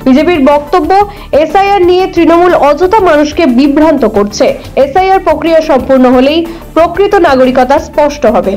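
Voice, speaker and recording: A woman's voice: sits high, expressive, a little fast, a little mellow, soft background music, low-quality recording. A woman reading a scripted news report as a presenter.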